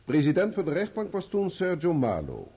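A methodical lawyer in a courtroom radio drama. His questions are formal and courteous, in a dark, husky voice with animated intonation. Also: fairly high pitch, normal speed, heavily acted. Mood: courteous, probing. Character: courteous, methodical male lawyer examining witnesses. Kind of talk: radio play courtroom acting.

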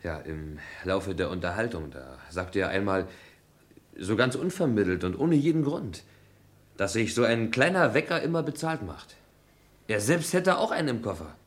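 An actor playing an earnest, hesitant young witness in German radio crime drama, polite and halting. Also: male voice, fairly low pitch, big pitch swings.

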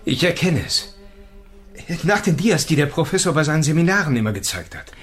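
Curious young student in a radio play, voice a bit high, faint music. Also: male voice, very animated, brisk, fairly bright tone. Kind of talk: German radio drama acting.

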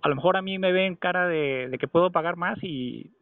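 A man's voice: high voice, a little fast, dry recording. A man on a phone call, arguing insistently and at length with an official. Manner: insistent, argumentative, long-winded. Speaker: caller. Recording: tinny phone line.

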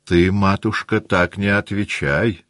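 A man's voice: low voice, fairly even intonation, takes it slowly, a bit bright, no room sound. A male narrator acts out a brusque older society lady from a classic novel. The delivery is decisive and commanding, with firm emphasis. The recording is close and dry. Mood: scolding.

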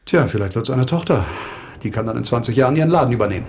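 An actor playing a wry, probing former doctor who works as a police investigator in a radio crime drama, dry and commanding. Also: male voice, mid-range voice, expressive, very fast, a little mellow, slight background noise, lightly acted.

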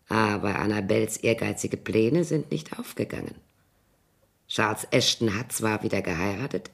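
An actress playing a tipsy, scheming older former nanny in a radio crime drama, brooding and halting in a theatrical way. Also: female voice, extremely low pitch, heavily acted. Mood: grim, secretive. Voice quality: husky, creaky. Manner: brooding, halting, theatrical delivery dropping into whispers.

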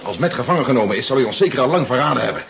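A commanding rebel leader in an old 70s radio drama, firm, dull noisy sound. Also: male voice, mid-range voice, lively intonation, rapid-fire, gravelly, fairly bright tone. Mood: grave, matter-of-fact. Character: resolute, commanding male leader of a resistance war. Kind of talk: 1970s radio drama acting. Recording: muffled 1970s radio recording, noisy.

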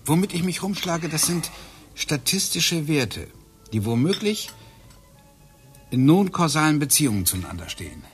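A man's voice: on the high side, big pitch swings, normal speed, pauses often, soft background music. An actor playing a brooding man obsessed with physics, musing and explaining as he talks, in a radio play. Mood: thoughtful. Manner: musing, explaining, drifts into whispers. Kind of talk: radio drama acting.

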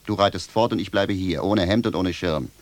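An actor playing a poor, aggrieved cobbler in a radio drama, gruff, grumbling and sardonic. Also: male voice, sits low, a little fast, lightly acted. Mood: sarcastic, bitter. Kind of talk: German radio drama acting.

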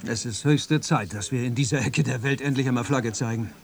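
A man plays a proud, boastful American restaurant manager in a radio drama. His voice is mid-pitched and his talk brisk. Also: creaky, close to an everyday voice. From USA.

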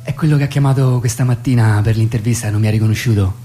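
A man's voice: medium pitch, fairly even intonation, racing, dark tone, a little hiss or hum, close to an everyday voice. An actor playing a polite but exasperated, sleep-deprived young man who hesitates and protests in a comic radio play. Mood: sheepish. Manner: hesitant, repeating himself, protesting.

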